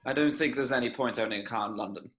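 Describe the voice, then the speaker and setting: A woman's voice: very deep voice, fast talker. A performer reading a sullen, pedantic young man in an amateur table read. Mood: sceptical.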